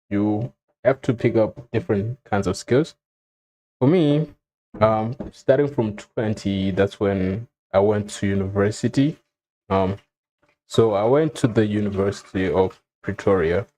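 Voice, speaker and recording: A young man from Zimbabwe talks casually about himself, pausing often on ums and breaking into small chuckles. His voice sits on the low side. A faint hum runs under the recording. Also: low-quality recording. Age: young adult. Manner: relaxed, frequent ums, chuckles while telling. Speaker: vlogger. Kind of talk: casual personal storytelling.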